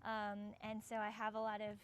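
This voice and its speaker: A woman's voice: mid-range voice, completely flat delivery, normal speed. A young American woman explaining something casually, with an informal way of talking full of "like" and "sort of". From United States. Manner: informal, frequent 'like' and 'sort of'. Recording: clear background noise, ambient sound.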